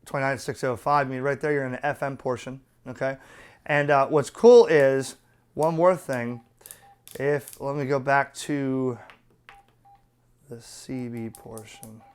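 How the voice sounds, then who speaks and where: A man's voice: mid-range voice, steady tone, unhurried, smooth. A man presenting a casual, unscripted hands-on product review, talking bluntly and colloquially as he explains things step by step.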